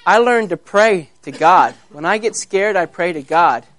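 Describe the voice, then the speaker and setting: A man voicing a brave, kindly man of faith who speaks gently and reassuringly. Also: high-pitched, animated, measured pace, no room sound. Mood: earnest.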